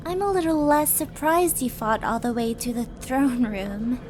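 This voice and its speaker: A woman's voice: sits high, measured pace, noisy background. A woman playing a sadistic, flirtatious demon princess in an ASMR roleplay audio drama, teasing and mock-sweet in a theatrical way. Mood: scheming, sardonic. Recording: music under the voice.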